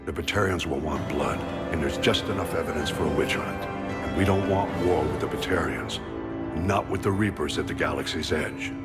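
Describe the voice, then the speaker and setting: An actor playing a gruff older military admiral in a video game, speaking in a measured, grave, authoritative way. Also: male voice, rumbling low, over loud music. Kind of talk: video game dramatic acting.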